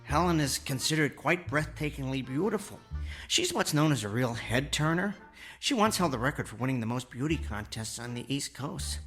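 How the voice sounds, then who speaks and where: Meek henpecked inventor husband, acted by a man, radio drama, hesitant, polite, very varied pitch. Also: animated, moderate pace, a bit bright, soft background music, natural voice. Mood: wry.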